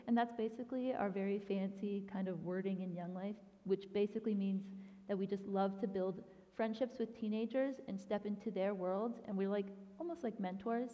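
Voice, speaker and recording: A woman's voice: medium pitch, steady tone, moderate pace. A young woman gives a casual guest talk at a church, chatty and informal with plenty of "um" and "like." Her voice rings with a long hall reverb, and the recording sounds somewhat dull and lo-fi. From grew up in Thailand. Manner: chatty, frequent "um" and "like". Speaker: youth ministry director, guest speaker.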